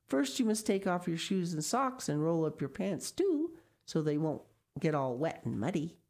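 A woman voicing a patient, gentle father who talks in a calm, kindly way. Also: fairly low pitch, normal speed.

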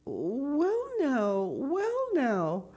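A woman's voice: sits high, huge pitch swings, drawn-out words, mellow, pitched above the performer's own voice, heavily acted. A woman voicing a shy, kindly old farmer in a storybook, hesitant and repeating his words. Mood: shy, worried.

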